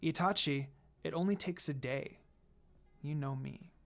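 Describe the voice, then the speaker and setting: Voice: medium pitch, unhurried, vocal fry, pitched below the performer's own voice. A narrator voicing a confident, teasing young ninja woman, smug and playful, in a read-aloud story.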